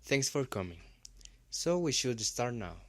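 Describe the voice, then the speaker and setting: A man's voice: medium pitch, big pitch swings, unhurried. A man playing a polite professor who hosts a scripted interview broadcast. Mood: polite, attentive. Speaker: interview host. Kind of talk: scripted presenting in English.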